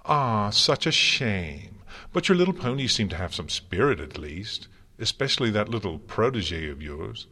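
A man's voice: medium pitch, big pitch swings, measured pace, a bit bright, pitched above the performer's own voice, exaggerated voice. A man voicing a mad, gleefully malicious chaos creature in a storybook, theatrical, taunting and crooning. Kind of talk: dramatic storybook character reading.

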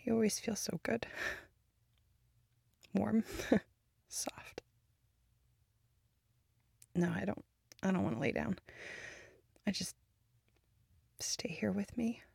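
A woman voicing a grieving young girlfriend who moves between bitter anger and soft whispers, speaking close to the microphone. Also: mid-range voice, lively intonation, unhurried, creaky, pauses often, husky, dry recording, heavily acted. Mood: loving. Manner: shifts between angry outbursts and soft whispers. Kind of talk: audio roleplay to a listener.

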